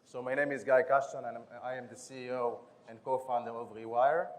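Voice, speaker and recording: A man with an Israeli accent pitches his startup on a conference stage. He talks quickly and in a businesslike way, sometimes restarting a word. His pitch sits in the middle range for a man. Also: steady tone. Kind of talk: conference pitch and product demo.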